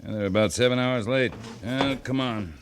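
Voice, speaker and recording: Male actor as cavalry captain, stern, dry, authoritative, mid-pitched voice, 1950s radio drama. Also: normal speed, noisy background, close to an everyday voice. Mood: stern, impatient. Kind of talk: 1950s radio western acting.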